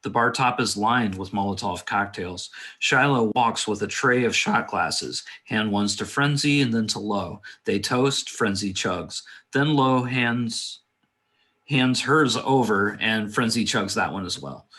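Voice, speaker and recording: A man's voice: on the low side, unhurried, bright, forceful tone. A man reading screenplay stage directions as a matter-of-fact narrator at a table read.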